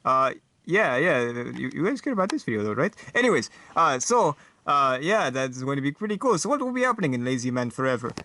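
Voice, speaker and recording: A man voicing a fussy, bumbling, eager talk show host who speaks haltingly and repeats himself. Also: medium pitch, very animated, pushed and bright, dry recording. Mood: flustered.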